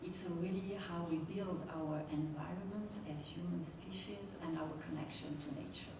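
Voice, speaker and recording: Woman audience member, lowish voice, reflective, heard from afar in a reverberant room. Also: fairly even intonation, normal speed. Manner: earnest, reflective, some hesitation. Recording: echoey hall, distant mic, background noise.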